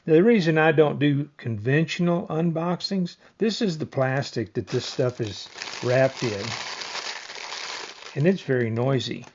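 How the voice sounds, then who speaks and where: A man's voice: a little high, expressive, measured pace, a little mellow, highs cut off, a little hiss or hum. A man giving a casual hands-on product demonstration in a plainspoken, step-by-step explanatory way. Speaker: product reviewer.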